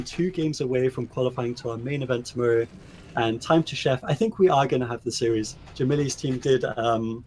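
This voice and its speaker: A man's voice: medium pitch, a little mellow, a little hiss or hum, low-quality recording. Man casting esports, voice tiring. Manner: enthusiastic, thanks donors effusively. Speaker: esports caster. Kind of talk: live esports commentary.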